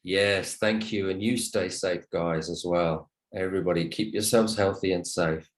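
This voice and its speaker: Guy teaching english on an online call, deep voice, polite and encouraging to the students. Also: fairly even intonation, normal speed. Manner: polite, encouraging, thanks listeners often. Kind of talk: online lesson talk to students. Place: online video call session.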